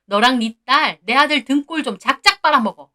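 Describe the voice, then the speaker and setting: A loud, high-pitched older mother-in-law, put on by a woman narrator, hurls coarse insults in a shrill, scolding voice. She shouts and berates without letting up, as in a dramatized storybook reading. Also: moderate pace, lightly acted. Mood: furious.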